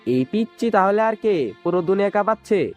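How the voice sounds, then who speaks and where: A man's voice: high-pitched, animated, brisk, faint music behind. A man playing a menacing, mocking gangster villain in an audio story, taunting and threatening as he speaks. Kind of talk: dramatized audio story dialogue.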